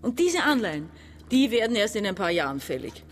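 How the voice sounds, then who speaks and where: A woman's voice: medium pitch, big pitch swings, fairly quick, slight background noise, lightly acted. An actress playing a frustrated, educated middle-aged woman in a radio play, reproachful and insistent. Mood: resentful, agitated. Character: embittered, frustrated educated woman around forty. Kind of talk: radio play dialogue and monologue.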